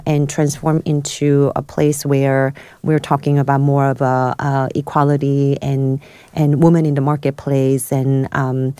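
A woman from South Korea speaks as a studio interview guest, her voice low and mellow. She tells her story in a halting way, full of ums and restarts. The sound is dry and close. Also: no room sound. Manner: hesitant, many fillers and restarts. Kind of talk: podcast interview, personal story.

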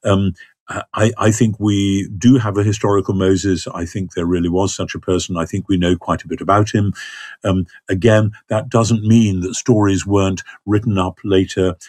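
Guy, low-ish voice, slow careful talk full of ums and restarts, dry close sound. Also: steady tone, a little mellow, dry recording. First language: not Hebrew. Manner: measured, hesitant, many restarts and fillers. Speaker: biblical scholar guest. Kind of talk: thoughtful conversational interview.

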